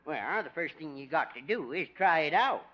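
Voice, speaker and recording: An old country vet, sly joker, 1950 radio comedy, lively swoops, muffled old recording. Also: male voice, on the high side, normal speed. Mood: businesslike. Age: older. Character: folksy older male veterinarian, sly joker. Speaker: supporting actor. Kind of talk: 1950s radio comedy acting.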